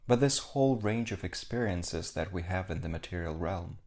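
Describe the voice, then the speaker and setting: A man leading a guided meditation as a teacher, speaking calmly in short, measured phrases with pauses. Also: low-pitched.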